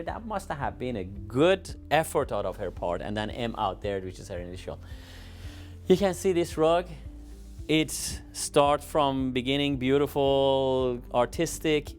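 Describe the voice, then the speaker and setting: A man hosting a design show, presenting and interviewing in an effusive, complimentary way. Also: mid-range voice. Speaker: TV show host.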